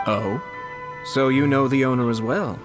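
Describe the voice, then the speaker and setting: An actor playing a courteous noble commissioner. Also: male voice, mid-range voice, music in the background, lightly acted. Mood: calm. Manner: polite, formal, measured. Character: young refined male noble commissioner, courteous. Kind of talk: game voice acting.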